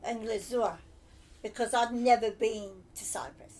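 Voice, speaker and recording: An older woman born in England to Cypriot parents, telling lively anecdotes and acting out what people said. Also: fairly high pitch, measured pace. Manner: lively anecdotal storytelling with acted-out quotes. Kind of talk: reminiscing conversation.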